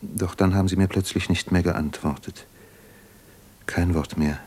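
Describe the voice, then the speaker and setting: Deep-voiced, weary, proud intellectual man. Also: steady tone, unhurried, a little mellow, breathy edge. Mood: reflective, subdued. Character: weary, proud intellectual man defending his troubled life. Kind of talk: 1950s German radio drama acting.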